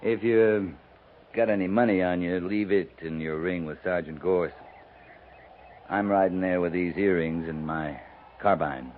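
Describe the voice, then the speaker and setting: An actor playing a steady, dry-humored American cavalry captain in a 1950s radio western, laconic and firm. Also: male voice, deep voice, a little slow, phone-quality sound. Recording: scratchy, thin, noisy 1950s radio recording.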